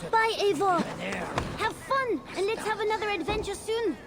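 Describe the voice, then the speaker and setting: A performer playing an innocent, eager young boy prince in a video game, with an earnest, childlike way of talking and loud noise behind. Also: very high voice, normal speed. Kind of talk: video game cutscene acting.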